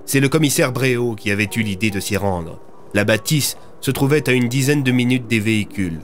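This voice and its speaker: A man's voice: medium pitch, brisk, a little hiss or hum. A man narrating a scripted audio drama as a storyteller.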